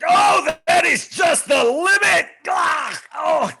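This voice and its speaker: A man's voice: extremely high pitch, expressive, takes it slowly, creaky, sharp tone, shouting, exaggerated voice. A man playing a pompous, prissy, put-upon naval officer in a comedy script read, with haughty, formal diction. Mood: anguished outrage.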